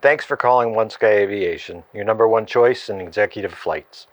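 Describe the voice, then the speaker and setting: A man's voice: lowish voice, normal speed, dry recording, natural voice. A man voicing a courteous, formal company voicemail greeting. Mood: polite, businesslike.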